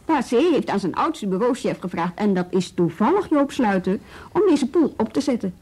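An actress playing a composed, tactful but firm female police bureau chief in a Dutch radio drama, speaking with measured authority. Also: mid-range voice, big pitch swings, darkish tone, breathy edge, rough recording. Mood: firm, calm.

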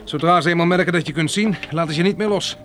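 An actor playing a sober, polite survivor who narrates and speaks in a radio drama. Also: male voice, a little high, fast, a bit bright, faint music behind, lightly acted. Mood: polite, concerned. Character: polite, sober sighted survivor recounting a catastrophe.

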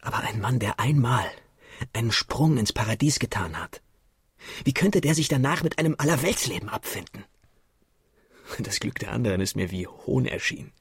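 An actor playing a haunted, guilt-laden young idealist in a radio drama, confessional and intense, with a breathy, husky, creaky voice. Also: male voice, mid-range voice, animated, normal speed, no echo, clean studio sound. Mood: anguished confession.

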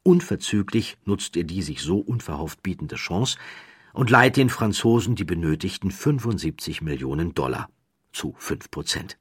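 Male audiobook narrator: low, slightly husky, measured and dramatic, recorded dry. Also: expressive, no room sound. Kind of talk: scripted narration of a historical true story.

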